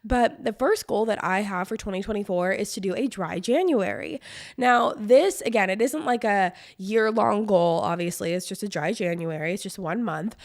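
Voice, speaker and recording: A young woman hosts her own podcast with a voice worn hoarse by a cold. She chats casually and rambles, leaning on "like" and "um" as she talks. The sound is close and dry, with no room around it. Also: mid-range voice, normal speed, no room sound. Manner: chatty, lots of "like" and "um". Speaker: podcast host. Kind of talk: casual solo podcast chat.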